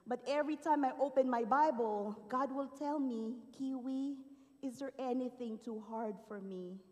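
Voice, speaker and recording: A woman's voice: high voice, moderate pace, mellow. A woman from the Philippines giving an earnest, emotional personal testimony to a church congregation. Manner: earnest, emotional storytelling with rising and falling emphasis. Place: large church auditorium. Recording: long hall reverb.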